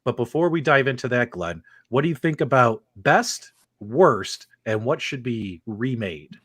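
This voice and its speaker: A man's voice: medium pitch, expressive, measured pace, fairly bright tone, husky, no room sound, studio quality. A man hosting a live online show, upbeat and teasing as he brings in callers. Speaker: host.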